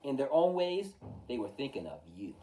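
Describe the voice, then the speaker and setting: Expressive male storyteller, very animated pitch. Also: normal speed, a little hiss or hum. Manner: rhythmic, emphatic delivery of rhyming verse. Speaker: storytime reader. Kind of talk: expressive children's picture-book read-aloud. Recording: distant from the mic.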